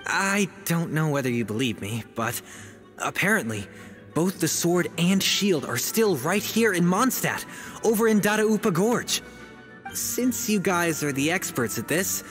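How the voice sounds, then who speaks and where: A young male novice adventurer from a fantasy game speaks in a high, youthful register. He is earnest, eager and naive, openly admiring. Music plays clearly behind his lines. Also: expressive, moderate pace, fairly bright tone. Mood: confiding. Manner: earnest, youthful, wide-eyed. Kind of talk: English video game voice acting. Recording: background music under dialogue.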